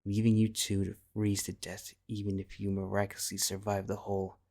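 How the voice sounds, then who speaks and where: A man's voice: fairly low pitch, completely flat delivery, a little fast, gravelly, darkish tone. A man reading scripted second-person fantasy fiction as the narrator.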